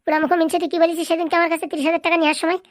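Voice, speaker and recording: A woman's voice: very high voice, level tone, racing, dry recording, clean studio sound. A woman voicing an angry, nagging wife and mother in a comedy cartoon, scolding and threatening. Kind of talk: Bengali comedy cartoon dialogue.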